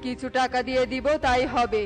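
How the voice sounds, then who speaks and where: A woman's voice: sits high, level tone, a little fast, faint music behind, natural voice. A woman voicing an arrogant, boastful male eagle village chief in a children's cartoon. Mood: dismissive, reassuring. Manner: boastful, scornful, dismissive of the poor. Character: arrogant rich eagle village chief, doting father, bully. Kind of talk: cartoon voice acting.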